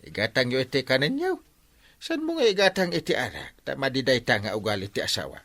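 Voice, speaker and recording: A man's voice: mid-range voice, wildly swooping pitch, fairly quick, a bit bright, heavily acted. A man with an Ilocano accent playing a fussy village captain who scolds and advises in a radio comedy drama. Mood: lecturing. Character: male village captain, fussy husband, scolding but helpful.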